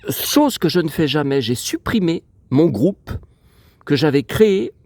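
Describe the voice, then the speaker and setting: A man's voice: a little high, lively intonation, a little slow, darkish tone, no echo. A man with a stuffy, sniffly nose from a cold, rambling in a digressive, aggrieved way as he vlogs outdoors. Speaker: vlogger. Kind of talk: casual rambling vlog chat. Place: outdoors in a cemetery.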